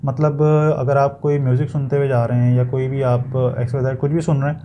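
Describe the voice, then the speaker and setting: A man's voice: medium pitch, flat delivery, brisk, warm tone, slight background noise. A man with a Pakistani accent giving a casual, explanatory product review, feeling for his words and sometimes repeating them, as in an unboxing video.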